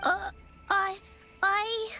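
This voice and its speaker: A woman's voice: extremely high pitch, level tone, drawn-out words, creaky, over music, exaggerated voice. A woman voicing a timid, apologetic little girl with a hesitant, stammering delivery for a video game. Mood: nervous, guilty.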